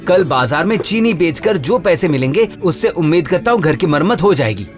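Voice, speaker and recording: An actor playing a humble, hopeful poor husband in a folktale cartoon, speaking earnestly. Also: male voice, fairly high pitch, very animated, fairly quick, faint music behind. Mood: reassuring. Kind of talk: cartoon voice acting.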